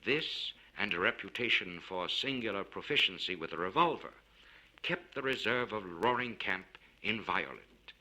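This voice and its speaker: A man narrates a classic story with a forceful, very bright voice. His pitch moves widely as he shapes each phrase in a measured, theatrical manner. It has the sound of a spoken-word record from the vinyl era. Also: rough recording. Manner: measured, expressive, theatrical delivery. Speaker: audiobook narrator. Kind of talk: dramatic literary story reading.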